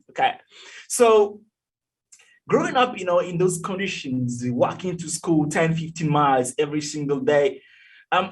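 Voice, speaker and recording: A man in his thirties from Togo tells his story with lively emphasis, often repeating himself and checking in with "okay?". His voice is a little higher than most men's and husky. He sounds slightly away from the microphone on a video call. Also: far from the mic, poor audio. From Togo, West Africa. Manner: animated, emphatic storyteller who repeats words and says "okay?". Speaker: guest, youth advocate. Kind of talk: storytelling interview. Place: indoor room, video call.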